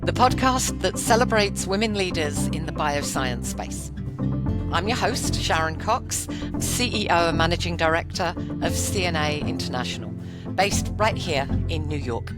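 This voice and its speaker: Low-voiced woman podcast host. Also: lively intonation, normal speed, over loud music. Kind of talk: scripted intro then interview chat.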